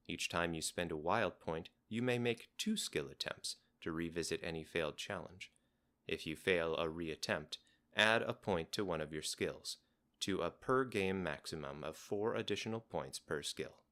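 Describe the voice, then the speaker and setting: Male rulebook reader, low-pitched, measured and instructional. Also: fairly bright tone. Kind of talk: reading game rules aloud.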